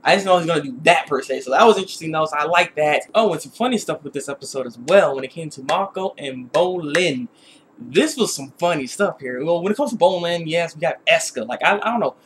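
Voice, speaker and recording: A young man hosting a casual online episode review, talking in an excitable, slangy, rambling way and repeating himself. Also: fairly high pitch, fast talker, slight background noise. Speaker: YouTube reviewer and host.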